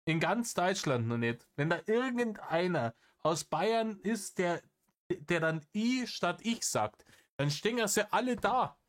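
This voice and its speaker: A man's voice: high-pitched, sing-song, a little slow. A man giving satirical reaction commentary in an exasperated, sarcastic manner, swearing freely.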